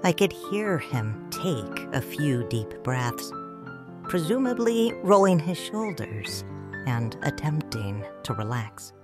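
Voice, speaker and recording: A woman's voice: low-pitched, sing-song, takes it slowly. A woman narrating a romance audio drama in first person, tender and reflective. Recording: dry studio voice with soft music.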